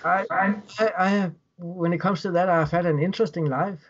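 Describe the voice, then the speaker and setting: Man, Danish accent, thirties, slightly high voice, halting and repetitive, video call from home, dull lo-fi audio. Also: steady tone. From Denmark. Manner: hesitant, repeats words while recounting. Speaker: guest. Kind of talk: casual interview over video call. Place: home room indoors. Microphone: webcam on video call.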